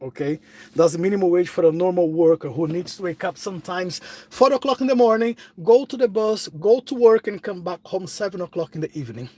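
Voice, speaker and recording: A man's voice: sits high, fairly quick, mellow, dry recording. A middle-aged man from Brazil with a Brazilian Portuguese accent, chatting casually on a phone live stream and answering viewers. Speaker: vlogger.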